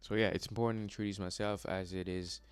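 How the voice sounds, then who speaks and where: Earnest deep-voiced young man, halting. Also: fairly even intonation, clean tone, faint music behind. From Democratic Republic of the Congo. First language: Congolese language. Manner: hesitant, many fillers and restarts, earnest. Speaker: vlogger. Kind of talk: motivational personal vlog.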